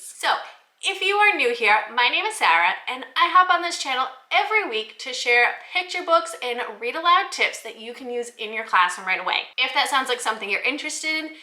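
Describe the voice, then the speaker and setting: A woman's voice: on the high side, bright, forceful tone, echoey room. A woman hosting a review channel in an enthusiastic, explanatory way, speaking directly to viewers in an upbeat presenting style. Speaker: host of a children's book review channel. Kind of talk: upbeat scripted vlog presentation to teachers.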